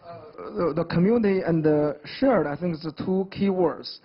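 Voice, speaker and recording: Male academic panelist, high and husky, halting English, folksy examples. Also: muffled recording. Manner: halting, homely food analogies, many fillers. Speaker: professor, panel guest. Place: TV studio.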